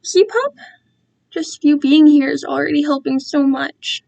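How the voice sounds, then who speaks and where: A woman's voice: high voice, a little slow, gravelly, a little mellow, muffled recording, voice pushed up. A woman voicing a condescending male captor in a story, cooing and patronizing as he keeps control. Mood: delighted, cooing.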